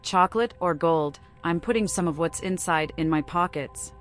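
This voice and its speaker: A woman's voice: lowish voice, normal speed, faint music behind, lightly acted. A woman voicing a mad, flippant vampire seer in a video game, with a whimsical, childlike, erratic delivery. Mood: teasing.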